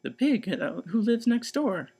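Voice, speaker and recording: A man's voice: high voice, very animated, unhurried, vocal fry, warm tone, pitched above the performer's own voice, heavily acted. A man voicing a timid, easily startled younger sister in a children's storybook. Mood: sheepish explaining.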